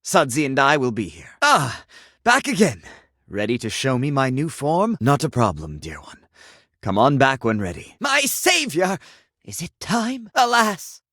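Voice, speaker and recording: A man's voice: medium pitch, huge pitch swings, unhurried, sharp tone, dry recording, studio quality, full character voice. An actor playing a confused, sentimental sink who thinks he is human in a video game, with theatrical, flowery delivery. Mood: yearning, earnest. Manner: theatrical, flowery, swinging between formal and exclamatory. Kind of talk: video game character acting.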